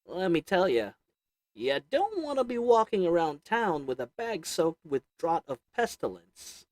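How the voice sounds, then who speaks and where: A man's voice: sits high, very animated, a little slow, a little mellow, dry recording. A man voicing a sly little old goblin merchant who sells shady goods, talking in a wheedling folksy drawl. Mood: chatty.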